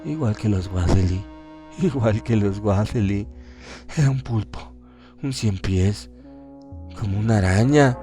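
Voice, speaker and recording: Un hombre interpretando a un aldeano horrorizado y angustiado. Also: male voice, on the low side, dark tone, soft background music, close to an everyday voice. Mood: horrified, anguished. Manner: anguished, halting, repeating himself. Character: horrified villager recounting a monstrous vision. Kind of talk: horror radio drama acting.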